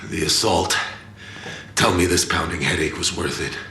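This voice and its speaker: A man's voice: low-pitched, moderate pace, creaky, sharp tone, full character voice. A man playing a weary, sardonic mercenary in a video game, speaking in a terse, dry, unimpressed way. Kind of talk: video game cutscene acting. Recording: echoey space with faint background noise.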